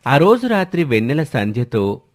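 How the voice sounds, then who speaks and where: A man's voice: medium pitch, big pitch swings, normal speed. A man narrating a scripted family story as the storyteller.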